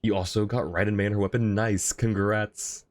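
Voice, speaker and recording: A man's voice: on the low side, steady tone, normal speed, darkish tone. A man doing casual live-stream game commentary, rambling and thinking aloud as he chats with viewers. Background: faint game music.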